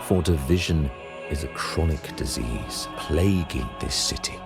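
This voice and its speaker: An actor voicing a stern, solemn religious ruler in a video game, with formal, ceremonial and pompous oratory. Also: male voice, a bass voice, expressive, a little slow, creaky, loud music behind the voice, exaggerated voice. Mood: solemn, measured.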